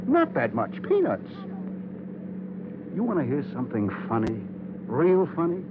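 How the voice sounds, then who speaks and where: An American actor playing a cynical, wisecracking, defensive insurance investigator in a 1950s radio drama, speaking loosely and repeating himself for emphasis. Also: male voice, fairly high pitch, wildly swooping pitch, measured pace, phone-quality sound. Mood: amused, cynical. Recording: very noisy, thin old radio recording.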